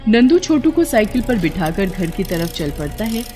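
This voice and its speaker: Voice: mid-range voice, very animated, moderate pace, music in the background. A narrator telling a scripted moral story for a cartoon.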